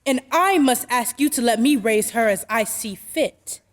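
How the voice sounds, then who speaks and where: Actress as high-voiced, no-nonsense working mother. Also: in a large hall, close to an everyday voice. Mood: firm, earnest. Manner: firm, deliberate, proper. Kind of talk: old-time radio drama acting.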